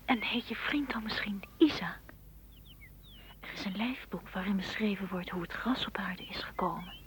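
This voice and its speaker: An actress in a radio play voicing a lonely, wistful blade of grass that asks questions in a hushed, breathy whisper. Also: female voice, mid-range voice, normal speed, close to an everyday voice. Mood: questioning, wistful. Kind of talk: whispered radio drama acting. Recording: noisy old radio play recording.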